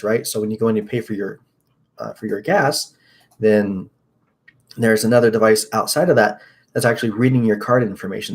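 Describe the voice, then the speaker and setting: A young man hosting a casual tech news livestream from a small room at his desk. He rambles upbeat with lots of fillers. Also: fairly low pitch. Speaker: host.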